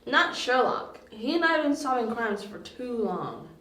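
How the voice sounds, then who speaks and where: An earnest, loyal young detective's partner, a boy played by a woman, has a high voice. It is an amateur audio drama with roomy sound. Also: expressive, brisk, a bit bright. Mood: disbelieving. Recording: roomy amateur home recording.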